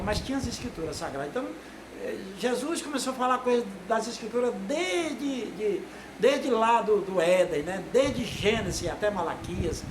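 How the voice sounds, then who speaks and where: High-voiced older man preaching. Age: 60s. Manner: rambling, frequent tag questions. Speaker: lay preacher. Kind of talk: informal video sermon. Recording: echoey room with noise.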